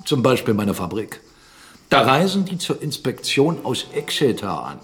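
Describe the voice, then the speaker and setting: An actor playing a blunt, argumentative captain and mill owner in a German radio drama, speaking emphatically. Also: male voice, medium pitch, very animated, moderate pace, a bit bright, slightly rough, soft background music, heavily acted. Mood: defiant, heated. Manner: argumentative, emphatic, rhetorical questions. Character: blunt, defiant male mill owner and captain, critical of church.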